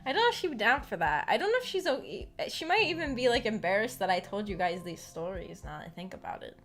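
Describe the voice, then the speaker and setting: A woman's voice: mid-range voice, big pitch swings, quick pace, a bit bright. A woman streamer chatting casually and telling personal anecdotes in a giggly, self-deprecating way. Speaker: streamer hosting a visual novel book club. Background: background music.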